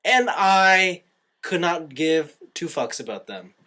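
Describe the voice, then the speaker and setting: Animated, opinionated man with wide pitch swings. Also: takes it slowly. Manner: animated, opinionated, exasperated griping, swears casually. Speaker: podcast host. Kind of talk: casual anime review chat.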